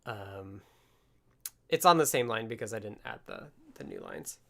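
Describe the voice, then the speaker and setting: A man hosting a casual live coding stream, talking haltingly and half muttering to himself as he works. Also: on the low side, wildly swooping pitch, vocal fry, fairly bright tone. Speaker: livestream host, programmer. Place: indoors at a desk with computer.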